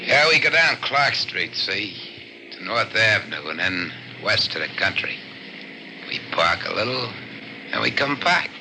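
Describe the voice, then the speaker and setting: A man's voice: fairly low pitch, sing-song, measured pace, vocal fry, heavily acted. An actor playing a callous, sneering gangland henchman in 1940s radio drama style, talking in slang. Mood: callous jeering. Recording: noisy old-time radio recording.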